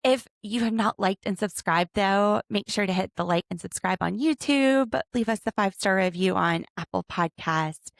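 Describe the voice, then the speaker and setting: A woman in her thirties hosting a casual podcast from home, chatty and quick to laugh as she picks apart a reality show. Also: mid-range voice, gravelly, no echo, studio quality. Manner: chatty, laughs often, overanalyzing. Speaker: podcast host, attorney.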